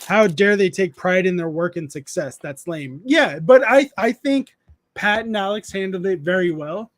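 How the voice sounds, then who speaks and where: A man in his thirties hosts a relaxed evening stream with friends, talking in a noticeably high voice. He rambles, restarts his sentences and stops to read viewers' messages aloud. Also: normal speed. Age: 30s. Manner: rambling, restarts often, reads chat aloud. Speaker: streamer host. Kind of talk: casual live-stream chat.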